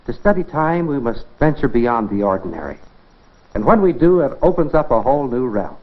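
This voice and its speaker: A man's voice: medium pitch, lively intonation, fairly quick. An American man presenting an educational science film in a scripted 1950s style, instructive and addressing the viewer directly with rhetorical questions. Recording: dull band-limited 1950s film soundtrack.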